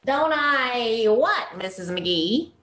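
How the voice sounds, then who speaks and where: A woman's voice: on the high side, expressive, extremely slow. A woman playing a naive, cheerful, boy-crazy young girl in old-time radio comedy, dreamy and guileless. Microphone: video call. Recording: video call with faint noise.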